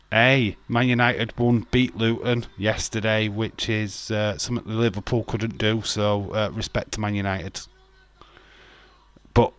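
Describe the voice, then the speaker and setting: A middle-aged Yorkshire man talks his way through a livestream in an unhurried, rambling drawl, chuckling often and stumbling over his words. His voice sits a little low for a man. The sound is close and dry, with no room around it. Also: fairly bright tone, no room sound. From Yorkshire, England. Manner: rambling, laughs often, frequent restarts and filler. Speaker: livestream host. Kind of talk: casual watch-along chat, reading viewer comments.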